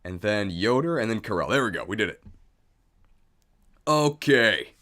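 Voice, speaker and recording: A man's voice: fairly low pitch, sing-song, moderate pace, bright, forceful tone. A man hosting a livestream, chatting casually and thinking aloud in a jokey, self-deprecating way. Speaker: streamer, video host. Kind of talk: casual livestream chat while setting up.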